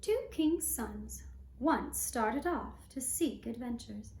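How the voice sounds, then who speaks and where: Slow, high-voiced woman telling fairy tales. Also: expressive, darkish tone, a little hiss or hum. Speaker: storyteller and puppeteer. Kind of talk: slow fairy-tale narration for a puppet show. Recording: roomy, echoing sound.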